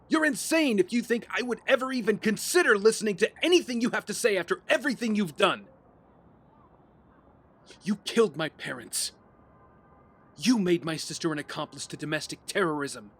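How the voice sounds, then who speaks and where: An actor playing a righteous, defiant young vigilante hero in an audio drama, earnest, intense and confrontational. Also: male voice, high-pitched, animated, moderate pace, pauses often, fairly bright tone, slightly rough, full character voice. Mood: outraged.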